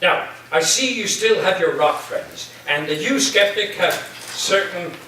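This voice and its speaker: A man's voice: high-pitched, expressive, a little slow, sharp tone, full character voice. A man playing an eccentric, theatrical college professor who speaks in a grandiose, whimsical way in a staged play reading. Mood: whimsical, genial. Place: echoey room, staged reading. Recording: very echoey room, far off, faint noise.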